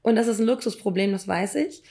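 A woman in her thirties, hoarse from illness, tells personal stories in a casual, emphatic, slangy way. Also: mid-range voice, a little fast, warm tone. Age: 30s. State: hoarse, ill. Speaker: podcast co-host.

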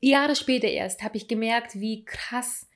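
A woman around thirty chats candidly, spinning a personal story in a relaxed, self-mocking way. She stumbles and restarts now and then but keeps talking, frank and unguarded. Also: a little high, a little slow. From abroad, came to Germany at ten. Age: 30s. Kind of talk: casual personal chat.

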